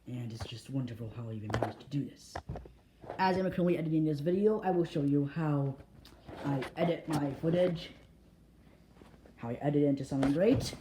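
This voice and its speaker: A filmmaker narrating a behind-the-scenes vlog in a boastful, halting, repetitive way. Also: mid-range voice, background noise. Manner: boastful, self-congratulatory. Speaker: filmmaker making analog horror videos.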